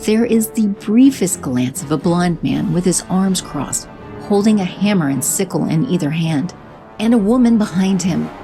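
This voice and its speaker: A woman narrating fast-moving action scenes in a brisk, vivid style. Her voice sits slightly low, with a music score clearly playing underneath. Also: lively intonation, dark tone.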